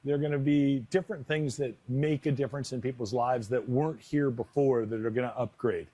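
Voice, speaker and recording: An American-accented man speaks in a conversational interview style. His voice is mid-pitched, and he talks as someone answering questions about himself and his work. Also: steady tone, fairly quick, dark tone. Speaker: stadium announcer being interviewed.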